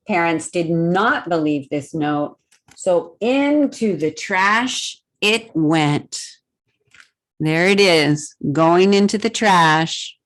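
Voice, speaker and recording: A woman hosting a children's storytime, reading a picture book aloud expressively and pausing to put questions to young listeners. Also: medium pitch. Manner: expressive, deliberate, simple phrasing with questions to children.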